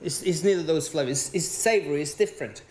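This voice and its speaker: A man's voice: a little high, quick pace, a little mellow. A man, doing a rambling, profane stand-up routine on stage with a handheld mic. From Venezuela. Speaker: stand-up comedian. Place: theater stage. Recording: echoey theater.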